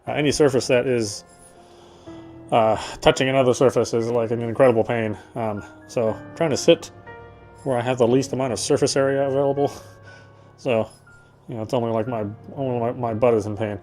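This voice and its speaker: A male game streamer moves between casual chat and reading narration aloud. He talks quickly in a mid-range voice, halting and correcting himself, with faint music underneath. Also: medium pitch, steady tone, darkish tone.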